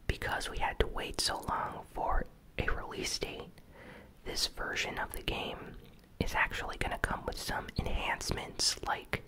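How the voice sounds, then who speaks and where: Hushed ASMR whisperer recapping news. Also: poor audio. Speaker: host of a gaming news channel.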